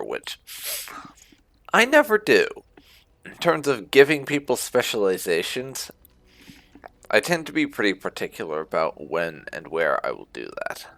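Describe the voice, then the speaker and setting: A man's voice: low-pitched, vocal fry, fairly bright tone, dry recording. A man giving casual, explanatory playthrough commentary as a gaming host, talking informally and close to the mic. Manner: informal, explanatory, reading dialogue choices aloud.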